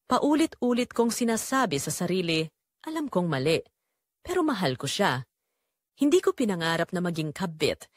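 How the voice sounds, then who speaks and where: A woman playing a remorseful secretary and mistress, narrating her forbidden love in an expressive, confessional audio drama style. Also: on the low side, moderate pace, no room sound, studio quality. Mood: wistful, guilty.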